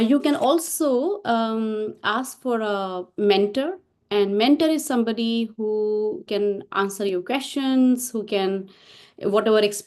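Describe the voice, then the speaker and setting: A woman's voice: a little high, a little mellow. A middle-aged woman hosting an online meditation session over a video call, speaking with many fillers and restarts. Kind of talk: welcoming host talk.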